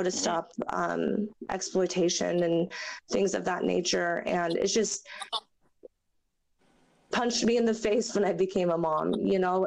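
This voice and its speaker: A young woman with a raspy, hoarse voice from a chest cold, sharing her story as an interview guest in a halting, earnest way with many fillers. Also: fairly low pitch, a little mellow, rough recording. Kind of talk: personal testimony in a podcast interview.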